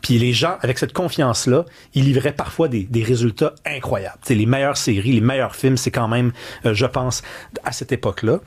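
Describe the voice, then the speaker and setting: Quebec guy doing talk show commentary, bit of a husky voice, earnest, tells stories in other people's words. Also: mid-range voice, fairly quick. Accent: Québécois French. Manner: earnest, emphatic storyteller who acts out quotes. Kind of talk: conversational commentary.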